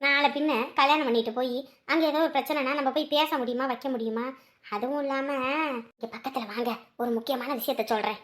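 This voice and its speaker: A woman's voice: sits high, steady tone, quick pace, fairly bright tone. A woman playing a gossipy, scolding mother-in-law in a family drama, with a rural Tamil Nadu accent, complaining through rhetorical questions. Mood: scandalised gossip. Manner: complaining, rhetorical questions, rustic turns of phrase.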